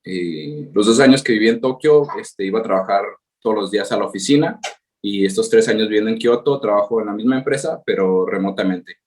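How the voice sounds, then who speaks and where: A man's voice: fairly low pitch, fairly quick. A Mexican man in his thirties with a Mexican accent, giving a webinar talk over a video call, hesitant with many fillers. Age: 30s. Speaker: guest presenter. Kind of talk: webinar presentation. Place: home, working remotely in Japan.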